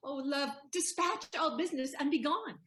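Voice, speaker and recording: A woman's voice: high-pitched, normal speed, bright, forceful tone, a little hiss or hum. An actress playing a commanding, devoted and generous lady in a Shakespearean audio play, theatrical and decisive. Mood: urgent.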